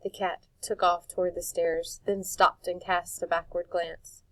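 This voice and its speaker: Audiobook reader, woman, calm measured narration, lower pitch, dry close sound. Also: dry recording. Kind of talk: storybook narration.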